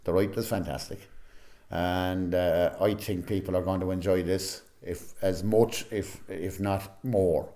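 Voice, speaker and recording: A man's voice: low-pitched, fairly even intonation, measured pace, mellow. An Irish-accented man speaking casually as an interviewee, chatty and peppering his talk with "you know". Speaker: amateur actor, interviewee.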